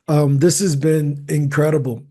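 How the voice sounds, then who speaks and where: A man's voice: on the high side, flat delivery, a little slow, warm tone, husky. A man hosting in a casual, effusive, informal way. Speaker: host. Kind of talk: casual, informal thanks to a guest.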